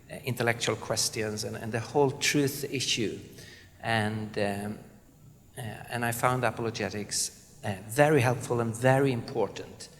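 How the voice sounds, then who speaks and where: A man from southern Sweden with a Swedish accent, introducing himself on a panel in an earnest, halting way with many fillers. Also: medium pitch. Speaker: panelist, apologetics speaker. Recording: reverberant hall.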